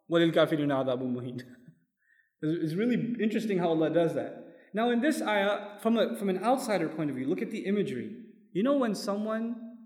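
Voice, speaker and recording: A man teaching a Quran lesson as a sermon, explaining step by step, quoting Arabic verses and putting questions to his listeners. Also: high-pitched. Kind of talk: lecture-style sermon. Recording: long hall reverb.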